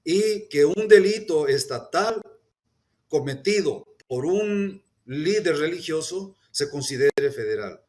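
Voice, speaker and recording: A man speaking earnestly at a press conference, deliberate, with hesitations and restarts. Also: on the high side, lively intonation, darkish tone. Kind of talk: formal public statement.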